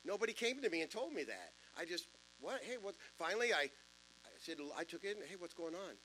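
A man in his fifties with a deep voice, preaching a sermon in a church in a conversational way, with self-deprecating jokes and asides. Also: big pitch swings, a little fast, bright, forceful tone, a little hiss or hum, rough recording. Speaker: pastor. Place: church sanctuary.

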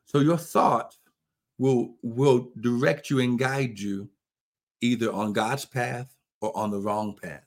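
A man with an ordinary mid-range voice, talking about faith in a preacherly way. He quotes scripture and climbs to emphatic, louder peaks. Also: medium pitch, fairly even intonation, takes it slowly. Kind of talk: faith talk conversation.

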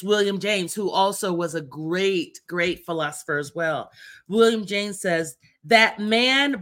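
A woman's voice: medium pitch, sharp tone. A woman co-hosting a faith talk show, speaking earnestly and declaring affirmations with emphasis.

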